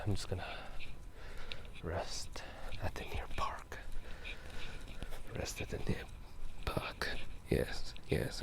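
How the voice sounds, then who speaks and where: A man speaks in a low-pitched, hushed whisper, chatting casually in an ASMR style. He rambles slowly and haltingly, leaving plenty of space between thoughts as he talks outdoors at night. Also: vocal fry. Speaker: ASMR video host. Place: outdoors at night, walking through town.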